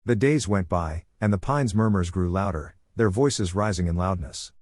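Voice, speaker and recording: Man, horror story narrator, low voice, dry close sound, steady measured delivery. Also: no echo. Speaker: voice actor narrating horror stories.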